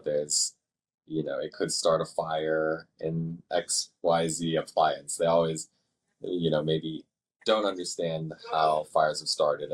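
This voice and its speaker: A man working as a fire dispatcher, answering interview questions in a measured, thoughtful way with halting phrasing. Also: very deep voice, husky.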